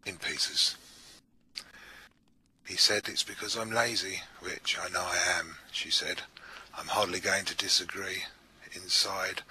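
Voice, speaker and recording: A man's voice: deep voice, bright, forceful tone, slightly rough. A man reading poems aloud to listeners as a recital. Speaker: poetry reader at a live event. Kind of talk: poetry recital.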